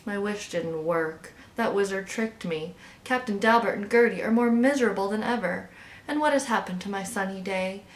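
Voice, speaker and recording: A woman's voice: medium pitch, moderate pace, close to an everyday voice. A woman voicing an earnest, anxious young male bullfrog in a children's storybook. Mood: dejected. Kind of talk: children's storybook read aloud. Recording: roomy, echoing indoor sound.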